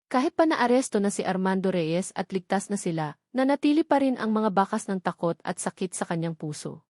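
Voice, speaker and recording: A woman's voice: mid-range voice, lively intonation, a little fast, a little mellow, dry recording, clean studio sound. A woman with a Filipino accent reads a scripted romance story as a dramatic narrator.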